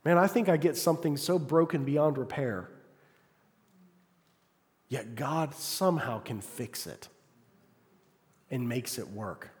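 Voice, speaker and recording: A man preaching a sermon, calling for "amen" from the congregation and swinging between loud, fervent passages and quiet asides. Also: mid-range voice, takes it slowly, pauses often. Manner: call-and-response, asks congregation "Amen?". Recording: long hall reverb.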